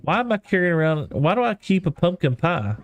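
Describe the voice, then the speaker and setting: A man's voice: sits high, big pitch swings, brisk, dry recording, lo-fi sound. Rambling Texan man chatting on a stream. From East Texas. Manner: rambling, self-deprecating, folksy. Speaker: livestreamer. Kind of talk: casual gaming stream chat. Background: soft lofi music.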